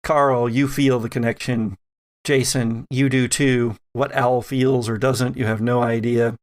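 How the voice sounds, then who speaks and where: A man working as game master, narrating a tabletop horror game in a measured way with dramatic pauses. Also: mid-range voice, little pitch movement.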